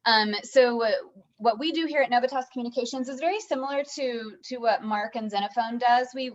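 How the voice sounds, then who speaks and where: A woman introduces her firm on a video call in a casual, rambling way. She restarts and fills pauses often. The call audio is a little dull. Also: fairly high pitch. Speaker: communications firm vice president.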